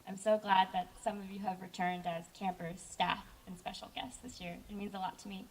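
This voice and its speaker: A woman's voice: mid-range voice, fairly even intonation, brisk, slight background noise. An earnest young woman delivers a personal speech to a camp crowd. Her voice sits mid-range and comes across a little distant from the microphone. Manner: heartfelt, earnest, with brief self-corrections. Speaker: camp director giving a speech.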